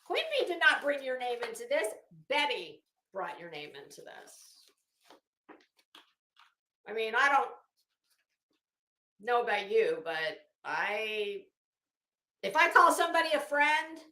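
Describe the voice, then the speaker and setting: A woman hosts a stream in a high, bright voice. She speaks slowly and theatrically, mixing dramatic reading with sarcastic asides. The room around her voice is echoey. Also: animated. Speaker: livestream host. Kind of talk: dramatic reading with off-the-cuff commentary. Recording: echoey, roomy sound.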